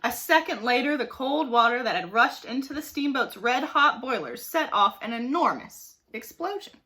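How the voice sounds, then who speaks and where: Lady reading a kids book out loud, bright voice, super animated, dramatic. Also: a little high, normal speed. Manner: expressive, dramatic emphasis. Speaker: teacher reading aloud. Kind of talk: animated storybook narration for children.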